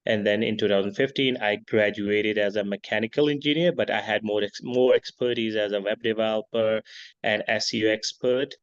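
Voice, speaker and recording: A man in his thirties from Pakistan talks in a low voice over a video call from a village, giving steady, conversational answers. He leans on "you know" between thoughts, and the line sounds dull and lo-fi. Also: fairly quick. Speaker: guest, tech and business consultant.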